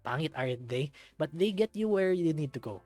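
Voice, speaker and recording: An upbeat young male otter from a visual novel, acted out by a man reading aloud. His pitch moves around in a lively, animated way. He sounds eager and affectionate, with music playing underneath. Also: fairly quick, natural voice. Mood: playful. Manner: upbeat, eager, mixing in Tagalog phrases.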